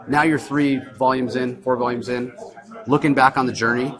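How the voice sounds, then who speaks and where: A man's voice: mid-range voice, fairly even intonation, normal speed, rough recording. A man hosting a casual interview, chatty and affirming, recorded live at a convention. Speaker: podcast host and interviewer. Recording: busy convention crowd behind. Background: crowd chatter.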